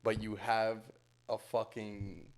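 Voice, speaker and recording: A man co-hosting a casual podcast speaks in a somewhat low voice. He talks animatedly and thinks out loud, working through ideas as he goes. His laugh comes easily. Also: level tone, slow pace. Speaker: podcast co-host.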